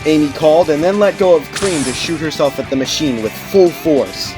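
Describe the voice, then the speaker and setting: A man's voice: on the high side, normal speed. A man reading scripted story narration as an audiobook narrator, in a dramatic storytelling manner. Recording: music behind the narration.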